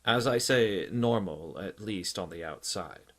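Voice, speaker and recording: A man voicing a stout psychiatrist in an audiobook, grave and solicitous, with an earnest, deliberate, clinical manner. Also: fairly low pitch, animated, fairly bright tone. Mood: clinical, sympathetic. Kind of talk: audiobook character acting.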